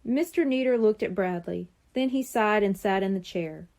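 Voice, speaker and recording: A woman's voice: medium pitch, normal speed, a little mellow. A woman narrating a scripted children's audiobook.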